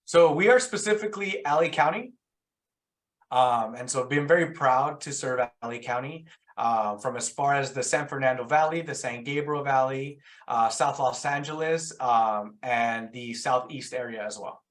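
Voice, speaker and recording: A man's voice: mid-range voice, normal speed. Hesitant man speaking on a webinar. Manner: hesitant, restarts with fillers. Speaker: youth program guest speaker. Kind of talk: webinar panel talk. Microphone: video call.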